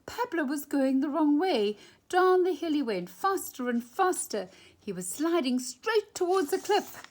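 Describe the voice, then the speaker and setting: Animated woman reading a children's story, unhurried. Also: high-pitched. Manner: animated, dramatic emphasis with drawn-out phrases and hushed moments. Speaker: storytime reader. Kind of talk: expressive children's picture-book read-aloud.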